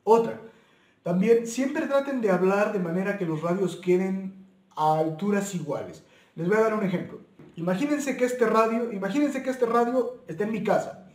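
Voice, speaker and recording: A man's voice: high voice, darkish tone, reverberant. A man hosting an informal video tutorial, explaining things step by step and repeating and rephrasing his points. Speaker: YouTube channel host.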